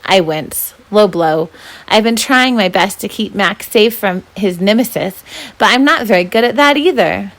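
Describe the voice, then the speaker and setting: A woman reads a children's novel aloud as a volunteer storyteller. Her pitch is average for a woman, and her narration is expressive, told in the first person. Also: moderate pace.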